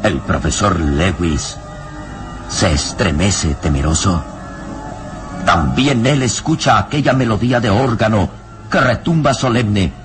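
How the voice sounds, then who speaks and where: An actor playing the solemn, suspenseful narrator of a horror radio serial, reading in a dramatic scripted style. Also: male voice, fairly low pitch, a little slow, over music, close to an everyday voice. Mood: solemn, brooding.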